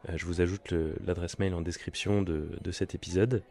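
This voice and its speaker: Male podcast host, low voice, casual delivery, hesitant phrasing with frequent searching for words. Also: fairly even intonation, a little fast, mellow. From France (Nantes). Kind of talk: casual conversational podcast talk.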